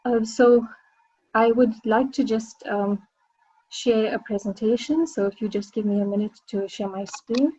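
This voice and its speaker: South African woman presenting on a webinar, mellow, husky, measured, dull call sound. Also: a little high, no echo. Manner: measured, with frequent ums and uhs. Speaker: senior lecturer, webinar panelist. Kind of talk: academic presentation. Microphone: video call.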